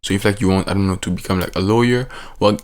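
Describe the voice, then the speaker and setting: Teen guy on the edge of twenty, low voice, French accent, rambly and filler-heavy vlog delivery. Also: fairly even intonation, quick pace. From French-speaking. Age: late teens. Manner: rambling, many fillers and restarts. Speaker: vlogger. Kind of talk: casual reflective vlog.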